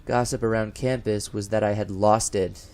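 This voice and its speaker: A man playing a haunted college professor unraveling into paranoid dread, narrating a horror audio drama in a formal, deliberate, theatrical manner. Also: on the low side, steady tone, lightly acted. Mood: uneasy, haunted. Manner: formal, deliberate, theatrical, swelling from hushed to frantic. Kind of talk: first-person gothic horror audio narration. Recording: music behind the voice.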